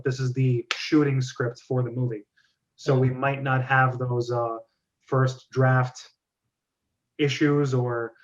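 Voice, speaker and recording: A man hosting a casual group discussion over an online call. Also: mid-range voice. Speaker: host. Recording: dull lo-fi call audio.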